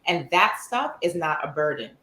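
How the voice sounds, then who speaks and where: A woman speaking as a podcast guest, her voice a little low. She explains in an earnest, steady way, and the room around her rings with echo. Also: normal speed. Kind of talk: casual interview chat.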